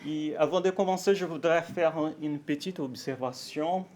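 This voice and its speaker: A Brazilian man with a Portuguese accent giving a conference talk in French, halting and careful, with frequent fillers and self-corrections. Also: a little high, slight background noise. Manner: nervous, warm, laughs lightly. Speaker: academic speaker at a conference.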